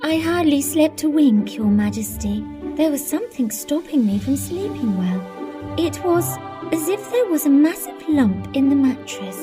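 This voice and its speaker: Woman playing a high, sweet young princess. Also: normal speed. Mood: polite, weary. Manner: sweet, well-mannered, expressive. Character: polite, delicate young fairy tale princess. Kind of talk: children's interactive storybook acting. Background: music.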